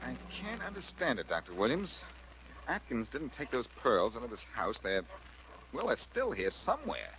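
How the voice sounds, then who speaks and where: An actor playing a confident, wisecracking reformed crook turned sleuth in a 1940s radio drama, with a cool, glib delivery. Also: male voice, medium pitch, wildly swooping pitch, moderate pace. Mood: puzzled, probing. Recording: muffled, hissy vintage radio recording.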